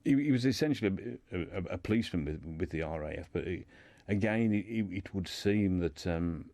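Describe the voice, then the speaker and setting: A man with a deep voice talks as a guest in a radio discussion. He is hesitant, often doubling back on words as he explains. The sound is very dry, with little room around him. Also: animated, warm tone, dry recording. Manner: hesitant, halting, repeats words. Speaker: guest discussing a writer's life and books.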